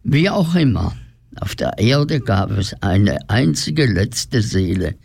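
A man's voice: lowish voice, very animated, takes it slowly, vocal fry, a little mellow, breathy edge, dry recording, clean studio sound. A man narrating a radio play in a scripted, fairy-tale storytelling style.

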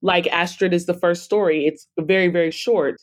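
Casting: woman with a fairly low voice, expressive interviewee in an easy conversation. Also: moderate pace. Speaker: podcast guest, author and publisher.

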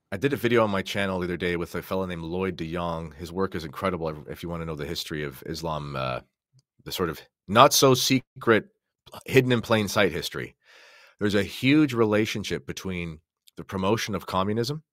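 A man's voice: low voice, fairly bright tone, no echo, studio quality. A man giving conversational podcast commentary, addressing listeners and his co-host with emphasis.

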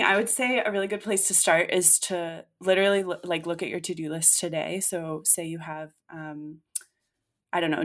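A woman's voice: lowish voice, fairly bright tone. A woman in her late twenties, co-hosting a podcast in casual chat, talking freely with many fillers and restarts. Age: late 20s. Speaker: podcast co-host.

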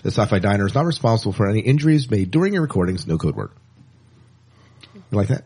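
A man's voice: on the low side, big pitch swings, fast talker, warm tone, breathy edge. A man co-hosting a podcast in a casual, chatty style, with wry asides and words repeated for emphasis. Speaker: podcast co-host. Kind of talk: casual show rundown and banter.

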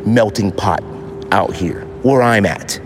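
A man hosting a podcast, giving a casual, opinionated monologue in an animated, slangy way. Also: fairly low pitch, slow, background noise. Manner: animated, slangy, acts out imagined quotes. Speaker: podcast host.

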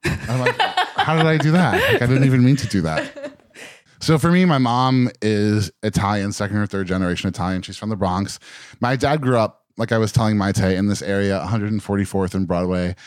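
A man from Westchester County, New York, hosting a casual group podcast conversation and asking questions in a relaxed, chatty way. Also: lowish voice, fairly quick, dry recording, clean studio sound. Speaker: podcast host. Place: indoor room.

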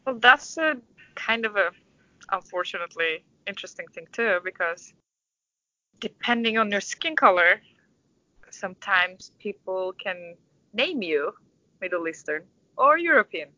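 A woman in her twenties from Istanbul with a Turkish accent, answering interview questions in a thoughtful, halting, conversational way. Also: medium pitch, very animated, sharp tone, no room sound, dull audio. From Istanbul, Turkey. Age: 20s. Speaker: interview guest, orchestral conductor.

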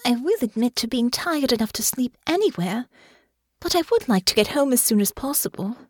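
Lady doing a young well-spoken girl for an audiobook, high voice, super earnest, formal wording, swoopy. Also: lively intonation, fairly quick, darkish tone, no room sound, studio quality. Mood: puzzled, polite. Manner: formal, polite phrasing. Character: earnest, well-spoken young woman, emotional but forgiving.

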